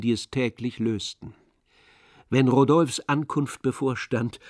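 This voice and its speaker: A man reading scripted literary narration for a radio play in a measured storytelling manner. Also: mid-range voice, darkish tone, dry recording, clean studio sound.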